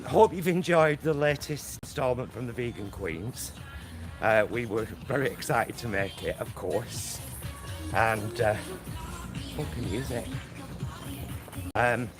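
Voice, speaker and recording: A man's voice: mid-range voice, rough recording. A man chatting casually to his phone on a selfie stick while walking through his neighbourhood, rambling with lots of fillers. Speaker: vlogger. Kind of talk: casual walking vlog chat. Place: outdoors on a street in his neighbourhood. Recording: phone recording with street noise. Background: traffic and street noise.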